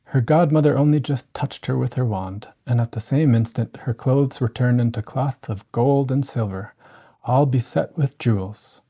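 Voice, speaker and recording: A storyteller giving a gentle, scripted bedtime narration of a classic fairy tale. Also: medium pitch, normal speed, husky.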